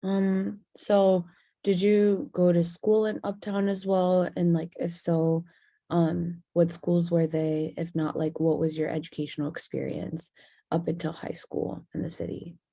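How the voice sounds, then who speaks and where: A woman's voice: fairly low pitch, flat delivery, a little slow, warm tone, no echo, clean studio sound. A woman asking polite, casual questions as an oral history interviewer.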